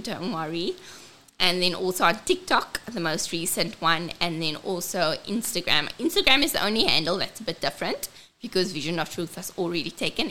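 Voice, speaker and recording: A South African woman with a squeaky, childlike voice, speaking earnestly as a ministry host and switching between English and Afrikaans. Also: pushed and bright, slight background noise, poor audio. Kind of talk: personal testimony and event announcement.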